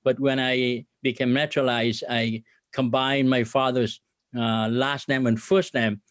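A middle-aged man from Vietnam, a business guest on a podcast interview, speaking earnestly with many filler pauses and religious phrasing. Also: medium pitch, flat delivery, dry recording.

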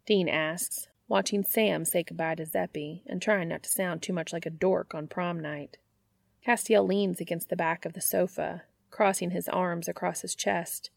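Low, creaky-voiced woman narrating fiction. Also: fairly even intonation, normal speed, dry recording. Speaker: audiobook narrator.

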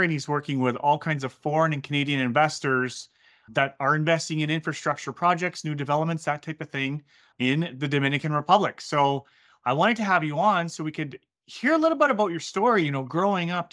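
A man hosting a podcast interview, upbeat and quick with enthusiastic interjections. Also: a little high, animated, a bit bright. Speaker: podcast host.

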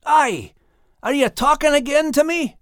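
A man's voice: very high voice, a little slow, fairly bright tone, no echo, studio quality, pitched above the performer's own voice. A man voicing a plucky, wary little male dog with a Scottish accent for a storybook, blunt and questioning. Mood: suspicious, demanding.

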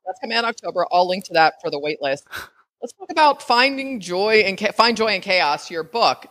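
A woman's voice: medium pitch, expressive, brisk, fairly bright tone. A woman in her fifties hosting a podcast interview, chatty and enthusiastic with frequent restarts. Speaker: podcast host. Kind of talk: casual interview chat.

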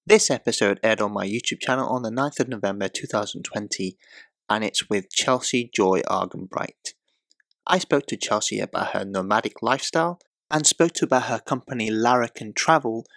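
A man hosting a relaxed interview, easygoing and conversational. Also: lowish voice, moderate pace, creaky. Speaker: podcast host. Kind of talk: casual interview chat.

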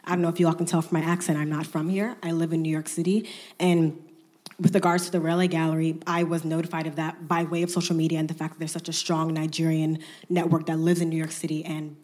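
Woman, audience question, very low steady voice, flat delivery, earnest. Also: fast. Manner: articulate, curious, earnest. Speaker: audience member asking a question. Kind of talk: question from the floor.

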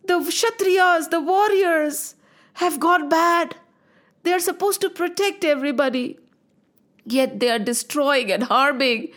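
A woman's voice: very high voice, unhurried, a bit bright, voice pushed up. A woman voicing Mother Earth, a grieving motherly goddess pleading for help. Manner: tearful pleading appeal.

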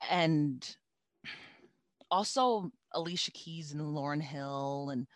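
A woman with a deep voice, a singer chatting as a guest in an interview. She talks reflectively and restarts her sentences often. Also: dry recording, studio quality. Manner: reflective, halting, with many restarts. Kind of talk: casual interview chat.

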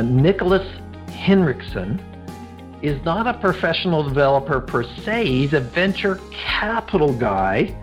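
A man's voice: medium pitch, muffled recording. A man hosting a podcast interview, rambling with frequent fillers and restarts. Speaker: podcast host.

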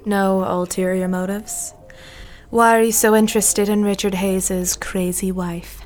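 A woman's voice: mid-range voice, level tone, a little slow, darkish tone, faint music behind, close to an everyday voice. A woman playing a bitter, sardonic, hard-drinking housewife, curt and cynical, in a period audio drama. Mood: suspicious.

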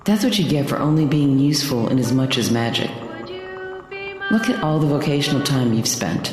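A low-voiced, contemptuous mother, wrapped in heavy, cavernous reverb. She speaks precisely and cuttingly, as an audio drama villain tearing down her son. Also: animated, a little fast, a little mellow, faint music behind. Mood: scornful. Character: cold, scornful mother belittling her grown son. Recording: cavernous echo, long reverb.

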